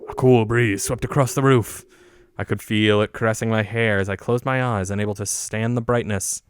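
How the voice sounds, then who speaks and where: A man's voice: on the low side, fairly even intonation, normal speed, clear, resonant tone, no room sound. A man streaming a game, reading its story aloud in a casual but theatrical storytelling manner. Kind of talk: casual read-aloud narration of a visual novel.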